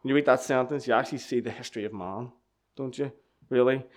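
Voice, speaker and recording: A man's voice: mid-range voice, fast. A young man, giving an earnest church testimony and sermon that repeats key lines for emphasis. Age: 20s. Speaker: lay preacher giving testimony.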